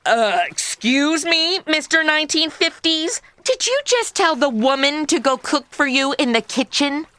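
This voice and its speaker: A woman's voice: high-pitched, expressive, measured pace, hardly any pauses, sharp tone, no echo, exaggerated voice. An actress playing a sassy, outspoken modern woman, sarcastic and indignant, in a comedy audio drama.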